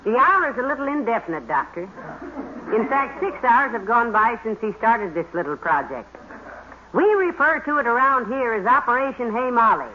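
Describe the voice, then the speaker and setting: A woman's voice: on the high side, very animated, moderate pace. A woman playing a hungry, long-suffering wife in old radio comedy, with dry, affectionately exasperated wisecracks. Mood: weary, wry. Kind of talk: 1940s radio comedy acting. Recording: noisy muffled old radio recording.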